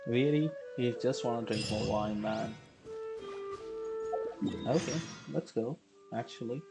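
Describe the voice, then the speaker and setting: A man's voice: mid-range voice, takes it slowly. Casual male game streamer over music. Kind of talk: casual live gameplay commentary.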